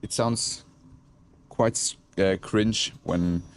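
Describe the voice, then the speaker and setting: Low-voiced man with a Swiss German accent. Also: steady tone, very slow, a little hiss or hum. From Switzerland. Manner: hesitant, searching for words, frequent restarts. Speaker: content creator. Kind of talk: reflective casual talk to camera.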